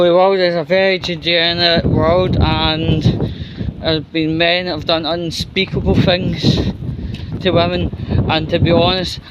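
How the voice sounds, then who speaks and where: A man giving casual, opinionated news commentary in a rambling, halting, sweary way. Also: high voice, heavy background noise. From United Kingdom. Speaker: host of his own commentary vlog.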